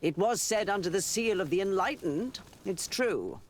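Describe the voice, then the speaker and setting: A man playing a shrewd, sardonic political rival in a video game, speaking in a deliberate, knowing way. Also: sits high, animated, a little hiss or hum. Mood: pointed. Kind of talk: video game cutscene acting.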